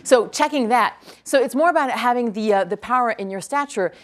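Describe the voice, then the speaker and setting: French-born woman fielding audience questions. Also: fairly high pitch. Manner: energetic, quick to praise, repeats each question aloud. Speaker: speaker answering audience questions. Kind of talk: lecture Q&A. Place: university lecture hall.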